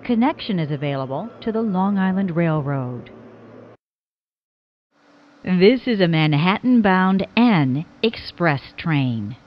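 A woman reading scripted subway announcements as a transit announcer, formally and in separate, clearly spoken phrases. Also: fairly low pitch, lively intonation, slow, highs cut off, a little hiss or hum. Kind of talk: scripted subway train announcement, pieced from clips.

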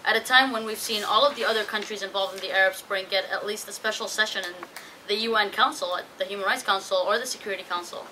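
A woman's voice: mid-range voice, fast talker, background noise. A young woman in her twenties speaking firmly and fluently as a panelist in a conference room. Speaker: activist panelist. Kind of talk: panel discussion remarks. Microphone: table mic.

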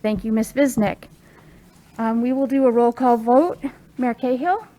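A woman's voice: on the high side, unhurried, mellow, rough recording. A woman chairing a committee meeting in formal, businesslike procedural style. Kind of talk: formal meeting procedure, roll call vote.

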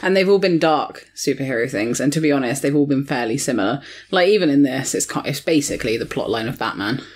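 A woman with a distinctly low-pitched voice talks casually as a guest reviewer. Her manner is blunt and informal, in loose conversational phrasing. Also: animated, fast talker, few pauses, husky. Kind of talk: casual chat.

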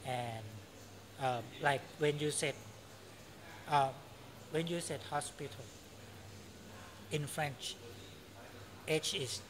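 A man in his thirties with a Thai accent, talking slowly and searching for words in a casual interview in a cafe. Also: on the high side, a crowd in the background. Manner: unhurried, searching for words, frequent fillers. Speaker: interviewee, English teacher. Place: cafe by large windows, indoors. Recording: noisy cafe ambience.

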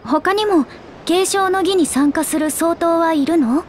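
A woman voicing a small, clever young girl scholar in a Japanese video game, calm and precise in her speech. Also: extremely high pitch, a little slow, a little hiss or hum. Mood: curious, thoughtful.

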